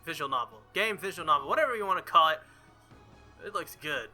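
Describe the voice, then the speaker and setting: A man's voice: a little high, animated, quick pace, bright, forceful tone, soft background music. A man doing a visual novel playthrough, reading the story aloud dramatically and dropping in casual asides. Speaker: let's player.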